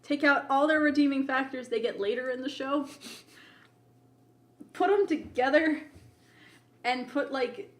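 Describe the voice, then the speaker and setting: A woman's voice: high voice, measured pace, pauses often. A woman co-hosting a podcast in casual banter, chatty and emphatic, with lots of "like" in her talk. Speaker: podcast co-host. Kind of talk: casual chat, review banter.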